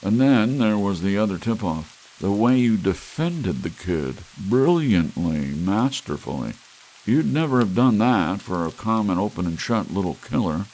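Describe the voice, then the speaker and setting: A man's voice: on the low side, expressive, unhurried, darkish tone, slight background noise, poor audio. A man voicing an earnest man pressing a friend about dreams and pain, urgent and probing.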